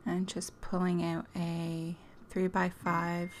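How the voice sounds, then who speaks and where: A woman hosting a craft tutorial, talking casually and chattily with a hoarse, strained voice. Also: on the low side, monotone, takes it slowly, a little hiss or hum. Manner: chatty, self-deprecating, laughs often. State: hoarse, losing her voice.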